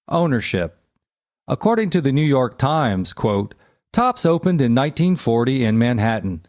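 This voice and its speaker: A man narrating an encyclopedia article aloud in a measured, factual, scripted delivery. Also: mid-range voice, darkish tone, no echo, clean studio sound.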